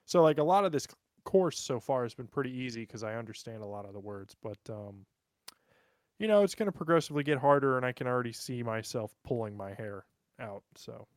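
A man's voice: mid-range voice, animated, fairly quick, no echo, studio quality. A man chatting and bantering casually as a podcast co-host, with a crude, joking manner.

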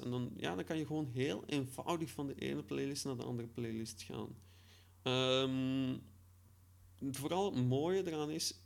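A man giving an informal spoken software tutorial, hesitant as he thinks aloud while demonstrating. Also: medium pitch, vocal fry. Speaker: podcast host demonstrating software. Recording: echoey room.

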